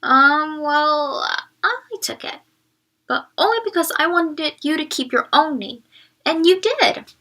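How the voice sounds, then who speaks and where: A woman's voice: high-pitched, animated, takes it slowly, pushed and bright, distant mic, pitched above the performer's own voice, heavily acted. A woman voicing an earnest, friendly young schoolboy in a storybook, eager and a little sheepish.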